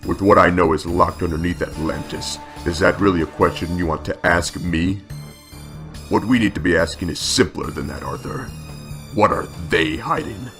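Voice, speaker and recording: A commanding superhero vigilante, performed by a man, speaking in terse, forceful orders. It is a dramatic comic-book reading with music behind. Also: low-pitched, normal speed, creaky, voice pushed down. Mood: guarded, stern.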